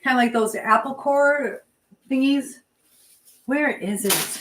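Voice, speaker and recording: A woman's voice: high-pitched, slow, noisy background. Rambling woman chatting on a live stream. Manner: rambling, trailing off mid-thought. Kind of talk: casual live chat, reading out viewer comments.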